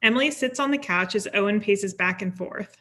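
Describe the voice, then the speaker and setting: A woman's voice: mid-range voice, fairly quick, pushed and bright. A woman reading stage directions as scripted narration, neutral and matter-of-fact. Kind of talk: scripted narration at a table read.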